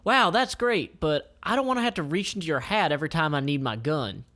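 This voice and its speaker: A man voicing an eager, chatty young princess from a comic in an animated way. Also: a little high, brisk, pitched above the performer's own voice.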